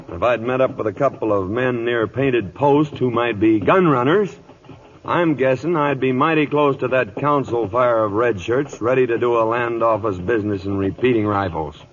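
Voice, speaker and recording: An actor playing a gruff, commanding, dryly sardonic older cavalry captain in a 1950s radio drama. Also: male voice, medium pitch, moderate pace, close to an everyday voice. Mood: dry, wry. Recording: dull, noisy old radio broadcast recording.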